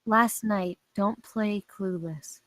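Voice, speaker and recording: Actress as a concerned, steadfast woman cop, medium pitch, dry drama table read. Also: slow pace, dry recording, natural voice. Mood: concerned, probing. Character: steady, worried female police officer, loyal friend.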